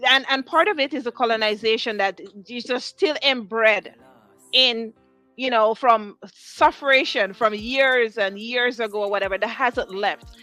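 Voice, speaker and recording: A woman with a high, bright voice hosts a music show from a studio. She speaks to her live audience effusively and encouragingly, driving points home by repeating them. Music plays faintly behind her. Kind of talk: interview and motivational talk to a live audience.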